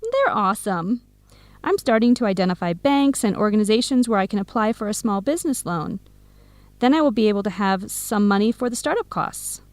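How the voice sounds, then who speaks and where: An actress playing an outspoken, protective young best friend in an educational radio drama, speaking frankly and emphatically. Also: female voice, medium pitch, sing-song, fairly quick, a little mellow, no echo, lightly acted. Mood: upbeat, earnest. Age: young adult.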